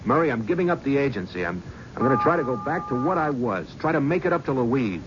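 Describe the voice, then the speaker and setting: An actor playing a remorseful middle-aged talent agent in a 1970s radio drama, earnest and emotional. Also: male voice, medium pitch, very animated, quick pace, music in the background, lightly acted. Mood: resolute. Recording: muffled old radio recording with hiss.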